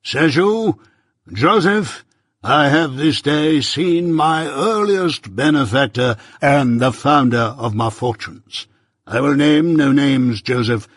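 A man voicing a pompous, self-righteous older merchant who lectures slowly and loudly in a raised, husky voice. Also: medium pitch, no room sound, studio quality, voice pushed up, exaggerated voice. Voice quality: pompous, preaching, drawn-out emphasis.

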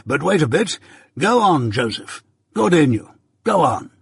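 A man's voice: fairly high pitch, sing-song, a little slow, vocal fry, breathy edge, dry recording, clean studio sound, voice pushed up, full character voice. A man voicing a pompous, self-satisfied older uncle, a patronizing seed merchant in a storybook.

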